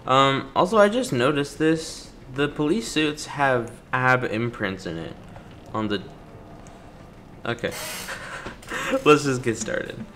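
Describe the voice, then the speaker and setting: A man's voice: medium pitch, lively intonation, slow, fairly bright tone, a little hiss or hum. A man giving casual, joking playthrough commentary, reacting to characters and reading captions aloud as host. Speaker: gaming video host. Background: video game music and sound.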